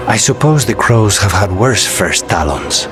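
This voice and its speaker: Dry, low-voiced suave assassin. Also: male voice, sing-song. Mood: weary, tender. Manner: dry, measured, understated. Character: dry, weary, suave male assassin of a crow guild. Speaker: companion character in a fantasy game. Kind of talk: video game dialogue. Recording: loud music behind the voice. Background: music.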